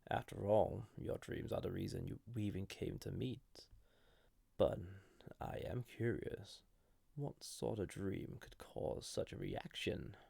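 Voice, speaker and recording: Male actor as banished ancient entity for intimate audio roleplay: very gravelly, unhurried, hesitant, flirty with a dangerous edge. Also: low voice, dry recording, heavily acted. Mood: curious, guarded. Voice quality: gravelly, husky, breathy. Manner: hesitant, softly intimate, deliberate. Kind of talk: scripted audio roleplay addressed to listener.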